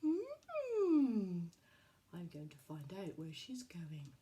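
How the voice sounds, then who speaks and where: A sly, hungry big bad wolf from a puppet show, acted out by a woman, savours every word. The delivery is slow and drawn out, pitched well below the storyteller's own voice. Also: huge pitch swings, dark tone, exaggerated voice. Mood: hungry, scheming.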